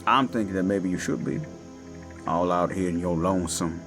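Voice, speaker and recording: A man voicing a menacing Cajun werewolf of the swamps, with a Cajun accent and a slow, taunting drawl. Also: low-pitched. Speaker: villain in audio drama. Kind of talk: horror audio roleplay. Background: faint eerie music and crickets.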